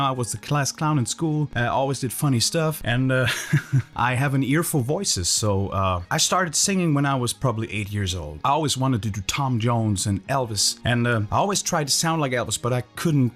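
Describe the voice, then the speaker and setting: A man in his thirties from Germany, with a German accent and German as his first language, casually telling his own story to camera with loose, filler-heavy talk. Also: mid-range voice. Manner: relaxed, loose, lots of "you know" and "uh" fillers. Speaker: Elvis tribute singer and channel host.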